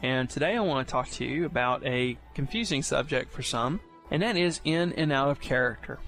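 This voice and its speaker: A man's voice: mid-range voice, moderate pace, fairly bright tone. Man explaining casually over music. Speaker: podcast segment presenter. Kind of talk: informal explainer for new players. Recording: music playing behind the voice.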